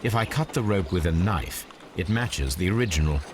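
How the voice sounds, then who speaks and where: A methodical gentleman detective in a video game, calm and precise. His voice is very deep but moves a lot in pitch, and clear ambient game noise sits behind it. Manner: measured and deliberate.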